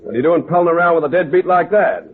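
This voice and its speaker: High, lively radio-drama bartender played by a man. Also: very fast, natural voice. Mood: gossipy, scornful. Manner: colloquial, folksy, gossipy. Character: chatty, slangy male bartender, scornful of deadbeats. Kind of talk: old-time radio drama acting. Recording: tinny old radio recording, crowd noise.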